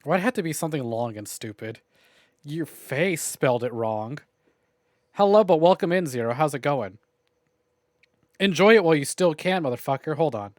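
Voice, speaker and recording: A man's voice: fairly high pitch, animated, moderate pace, pauses often, no echo, studio quality. A young man streaming a game, chatting casually with his chat, joking and swearing freely.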